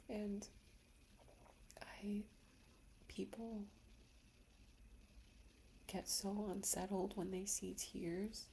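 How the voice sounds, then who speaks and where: A young woman who talks in an unhurried, breathy whisper, her low tones often catching on a gravelly fry. Her voice is mellow and dark rather than bright, and a faint hiss of noise sits under the recording. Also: poor audio. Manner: soft whispering, slow and reflective, emotional. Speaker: ASMR creator. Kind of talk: whispered personal ASMR talk.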